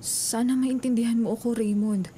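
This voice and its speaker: A woman's voice: on the high side, steady tone, normal speed, background noise, natural voice. An actress playing a remorseful, insistent young woman in a Filipino radio drama, pleading earnestly. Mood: pleading, urgent.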